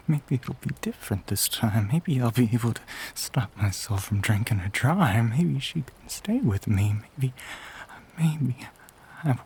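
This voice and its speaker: A man playing a lonely, hungry Southern gentleman vampire in an intimate audio roleplay, speaking haltingly in a breathy voice with a Southern US accent. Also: fairly low pitch, a little hiss or hum, pitched below the performer's own voice, lightly acted. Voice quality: breathy, whispery. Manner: halting, hesitant, drawn-out phrases.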